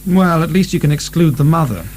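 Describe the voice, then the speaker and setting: A man's voice: fairly high pitch, lively intonation, brisk. An actor playing a coolly rational asylum deputy in a radio drama, a man who doubts politely and reasons with deference. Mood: sceptical. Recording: soft recording with faint hum.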